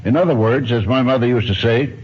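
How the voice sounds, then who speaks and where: A man's voice: mid-range voice, brisk, husky. A man in his nineties giving formal, precise answers as an expert guest in a radio interview, with old-fashioned phrasing. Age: 90s. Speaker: interview guest, archaeologist and ethnologist. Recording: muffled, noisy 1950s radio broadcast recording.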